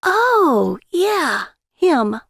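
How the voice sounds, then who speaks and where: A woman voicing a sharp-tongued, volatile wife in an audio story, with emphatic, exclamatory delivery. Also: sits high, very animated, drawn-out words, vocal fry, no room sound, studio quality, voice pushed up, full character voice. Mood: sarcastic.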